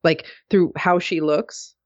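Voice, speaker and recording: A woman's voice: low voice, fairly even intonation, takes it slowly, a little mellow, no room sound, lo-fi sound. A woman co-hosting a podcast, chatting casually in an agreeable, reflective way. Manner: agreeable, reflective, with many fillers and hedges. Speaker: podcast co-host. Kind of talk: casual conversational chat.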